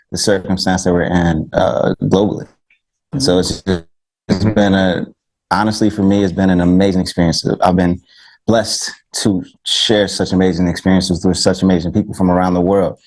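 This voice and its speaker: A man speaking reflectively and without a script as a panel guest, in a measured way. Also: sits low, darkish tone, poor audio. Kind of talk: unscripted panel discussion.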